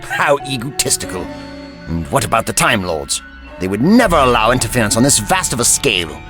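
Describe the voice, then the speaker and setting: An older man playing a haughty, sardonic time-traveling gentleman with old-fashioned courtesy in an audio drama. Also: mid-range voice, very animated, a little fast, music in the background, lightly acted.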